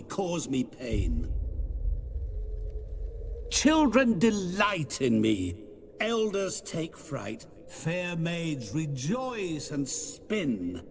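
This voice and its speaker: An actor playing a genial, sinister demon posing as a merchant, courteous and quietly menacing in a video game cutscene. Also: male voice, mid-range voice, creaky, a little hiss or hum, exaggerated voice. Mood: playful riddling. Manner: speaks in slow, sing-song riddles. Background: orchestral game music.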